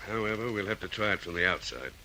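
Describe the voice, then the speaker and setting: A man playing a senior, dogged police detective, brisk and authoritative in an old radio drama style. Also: sits low, lively intonation, bright, forceful tone, slight background noise, exaggerated voice. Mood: businesslike, determined.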